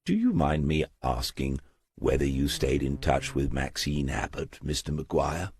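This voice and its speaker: A man's voice: rumbling low, big pitch swings, a little slow, darkish tone, heavily acted. A man voicing a shrewd older male detective in an audiobook, questioning courteously and casually. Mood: politely probing.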